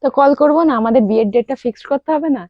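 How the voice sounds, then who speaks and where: Young female prank-call host, rapid and teasing casual delivery, dry lo-fi sound. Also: high voice, no echo. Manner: teasing, persistent. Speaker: prank caller and vlog host. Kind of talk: casual prank phone chat with asides to viewers.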